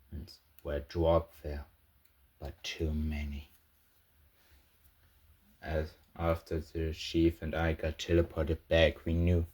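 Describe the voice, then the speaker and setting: A man playing a battle-shaken soldier who recounts a war in a halting, husky voice for an amateur sci-fi audio log. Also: rumbling low, a little mellow, heavily acted. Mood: somber, weary. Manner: halting, broken into short phrases with heavy pauses. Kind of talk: scripted first-person audio log narration, amateur sci-fi roleplay.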